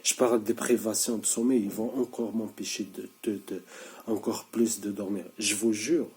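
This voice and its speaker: A man's voice: on the low side, flat delivery, normal speed, darkish tone. A sleep-deprived man in his thirties talking straight to camera, insistent and repetitive, stumbling and restarting often. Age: 30s. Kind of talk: casual video monologue.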